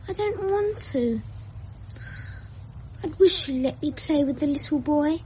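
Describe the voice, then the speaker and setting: A woman's voice: sits high, animated, unhurried, mellow. A wistful little boy. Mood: bored. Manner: plaintive, childlike pleading. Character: lonely imaginative young boy, wistful. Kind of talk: radio drama acting. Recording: old radio play with faint hiss.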